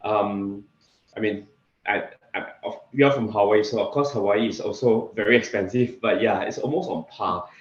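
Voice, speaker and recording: A man from Singapore with a Singaporean accent, talking casually as an interview guest in a halting way, often repeating words. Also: on the low side. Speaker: interview guest, real estate investor. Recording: echoey room, slightly dull sound.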